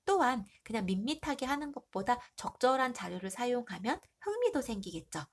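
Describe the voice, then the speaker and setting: A woman teacher with a stuffy nose and a hoarse throat, reviewing for an exam and asking the class questions she then answers herself. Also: fairly high pitch, big pitch swings, fairly quick. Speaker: middle school Korean teacher.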